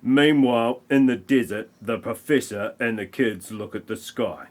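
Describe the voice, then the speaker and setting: A man's voice: mid-range voice, normal speed. A man narrating an amateur audio play, reading a scripted film parody with wry comic asides.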